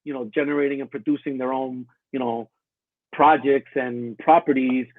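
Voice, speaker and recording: A man's voice: mid-range voice, moderate pace, no echo. A man born in Colombia and raised in the US, a guest illustrator talking casually with many fillers over a remote line. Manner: relaxed, hesitant, many fillers. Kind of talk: casual interview chat. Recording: tinny phone-like remote line, dry.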